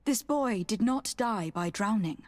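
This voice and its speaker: An actress playing a courteous but insistent noblewoman diplomat in a video game, speaking formally and in a measured way. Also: medium pitch, animated, lightly acted. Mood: firm, authoritative. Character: poised, authoritative young noblewoman legate, courteous but insistent. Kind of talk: dramatic video game acting.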